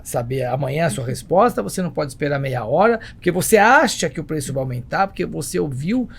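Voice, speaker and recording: A gravelly Brazilian man speaks at a quick clip on the higher side. He is an emphatic, jokey talker who repeats words for weight and asks rhetorical questions, as a business owner being interviewed. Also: expressive. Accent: Brazilian Portuguese. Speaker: travel agency entrepreneur, podcast guest. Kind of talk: animated conversational interview.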